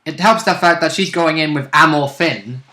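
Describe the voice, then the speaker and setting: A man co-hosts an informal conversation about tabletop gaming. His voice sits a little high and has a bright, sharp edge. The recording is noticeably echoey, like an untreated room. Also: moderate pace. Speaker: co-host and tabletop game player. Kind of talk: casual chat about game rules. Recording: roomy, echoing sound.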